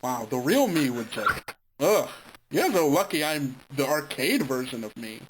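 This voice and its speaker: A woman's voice: very deep voice, very animated, unhurried, noisy background, full character voice. A woman voicing a smug, pedantic wish-granting arcade figure that twists rules to its liking. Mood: haughty. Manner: haughty and teasing, sticks to the letter.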